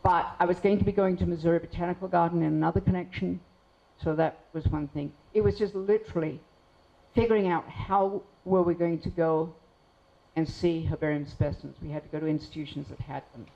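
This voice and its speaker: A woman's voice: on the low side, steady tone, a little fast, vocal fry. A woman speaker answering an audience question candidly, with a rambling, frank manner. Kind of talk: lecture Q&A. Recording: dull muffled sound.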